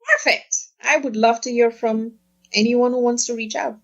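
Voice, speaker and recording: A woman speaking as a podcast guest in a casual interview, earnest and quick to laugh. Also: a little high, fairly even intonation, muffled recording.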